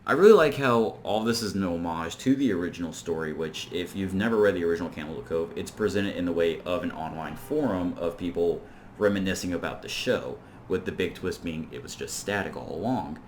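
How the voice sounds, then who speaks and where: A man's voice: sits low, a little fast, a little hiss or hum. A man hosting an informal video essay, recapping and commenting in a rambling, conversational way. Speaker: video reviewer and host.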